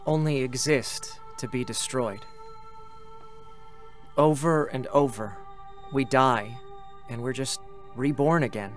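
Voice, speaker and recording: An actor playing a lonely young male android in a dramatic reading, gentle, reflective and questioning. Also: mid-range voice, close to an everyday voice. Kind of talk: dramatic stage reading of a game story. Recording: music behind the voice.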